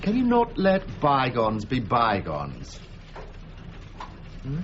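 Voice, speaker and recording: An actor in a period radio drama playing a genteel, soft-hearted lord, clipped and patrician but hesitant when pressed. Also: male voice, mid-range voice, huge pitch swings, slow pace, lo-fi sound, slight background noise. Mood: conciliatory.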